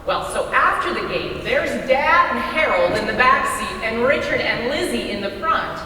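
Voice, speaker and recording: A high, bright-voiced woman tells folksy stories as part of a staged reading. She is heard from far off in a very echoey hall, in a conversational storyteller's manner. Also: animated, moderate pace, slight background noise. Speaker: readers theater performer.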